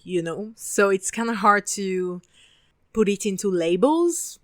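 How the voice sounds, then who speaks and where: French woman, 20s, French accent, talkative podcast host; smooth, crisp voice at a mid pitch, dry close recording. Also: a bit bright, no echo. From France (Paris). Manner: chatty and talkative, casual swearing, frequent laughter. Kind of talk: casual solo podcast monologue.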